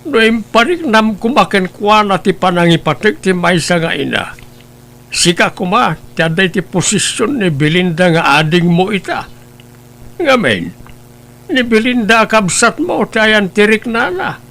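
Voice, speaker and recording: A man's voice: high voice, animated, unhurried, vocal fry, bright, forceful tone, exaggerated voice. A man playing a stern elderly father in an audio drama, counselling emphatically and admonishingly with an Ilocano accent. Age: older.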